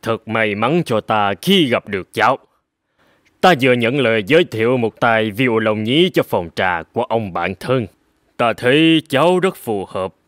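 A man voicing a kindly, portly older gentleman in a cartoon, speaking in a gentle, measured, encouraging way. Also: medium pitch, lively intonation, no room sound, studio quality, close to an everyday voice. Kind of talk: cartoon voice acting.

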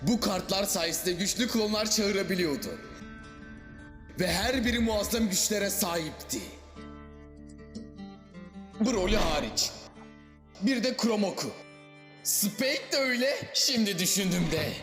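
Man playing a boastful, high-voiced cartoon show-off. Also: lively intonation, measured pace, pauses often, fairly bright tone, reverberant, in a large hall, faint music behind. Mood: storytelling. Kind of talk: animated comedy dub in Turkish.

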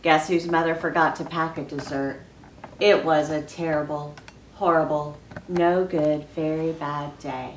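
A woman's voice: low-pitched, steady tone, measured pace, recorded from a distance, voice pushed down. A sulky young boy from a storybook, as a woman reads him aloud, airing his grievances with emphatic complaint. The voice is set back from the mic in a roomy space, with faint noise behind it. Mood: aggrieved. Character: sulky, aggrieved young boy narrating his bad day.

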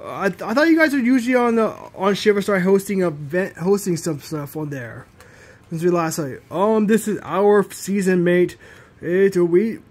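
A young man voicing his plush toys in an improvised cartoon, rambling with lots of restarts, recorded on a handheld phone in a bedroom. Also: high voice. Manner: rambling, halting, many restarts. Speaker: puppeteer voicing all the plush characters.

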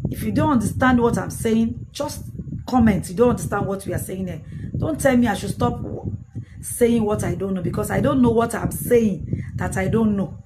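A clearly noisy phone recording of a middle-aged Nigerian woman with a Yoruba accent and a medium-pitched voice. She hosts a casual livestream from a small room, emphatic and repetitive as she answers comments. Yoruba is her first language. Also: moderate pace. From Ijebu, southwestern Nigeria. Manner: emphatic, repeats phrases, switches between Yoruba and English. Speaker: livestream host commenting on news. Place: small indoor room with curtain. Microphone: phone at arm's length.

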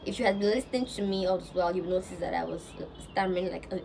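A woman's voice: on the low side, fast, vocal fry, darkish tone. A young woman with a Nigerian accent, chatting casually to camera in a get-to-know-me vlog, stammering and laughing as she talks outdoors. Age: young adult. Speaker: vlogger. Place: outdoors on a balcony above rooftops. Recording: outdoor background noise. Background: street ambience.

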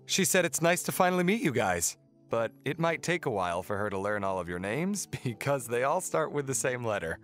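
A man playing a kind, helpful young merman prince, explaining things gently in an audio drama. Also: medium pitch, big pitch swings, a little fast, soft background music. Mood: kind, patient.

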